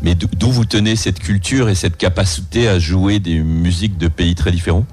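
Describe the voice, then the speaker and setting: A man's voice: deep voice, normal speed, darkish tone, husky. An older man hosting a radio interview, asking questions with frequent hesitations and restarts. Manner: hesitant, many restarts and fillers. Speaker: radio host.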